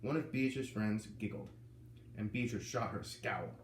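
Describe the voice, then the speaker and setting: A man reading a children's novel aloud, lively and putting on voices for the characters. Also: lowish voice, normal speed, far from the mic. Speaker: reader. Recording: echoey room with faint ambient noise.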